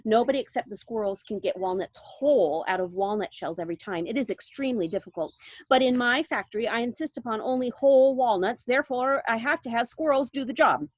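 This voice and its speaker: A woman reading a storybook aloud and voicing an eccentric, excitable male candy maker in a brisk, theatrical, bossy manner. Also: a little high, dry recording, natural voice. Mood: explaining.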